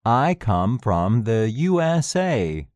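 A man repeats each word and sentence at a very slow, deliberate pace, his pitch rising and falling widely. The sound is dry and close, straight into a studio mic. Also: big pitch swings, a little mellow, dry recording. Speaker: recorded lesson reader. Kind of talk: scripted listening exercise.

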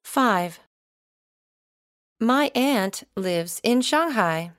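A woman reading numbered items for a recorded English listening exercise, slowly and carefully enunciated. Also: on the high side, expressive, a bit bright, no echo, clean studio sound. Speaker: recorded listening test reader.

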